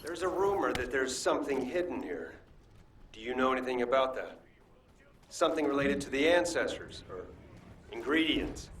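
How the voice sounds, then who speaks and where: An actor playing a cocky young fire-wielding chef hero in a video game, with wry, nonchalant delivery. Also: male voice, mid-range voice, expressive, normal speed, a bit bright, natural voice. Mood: curious, annoyed. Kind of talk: video game character acting. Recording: echoey, distant game audio, faint noise.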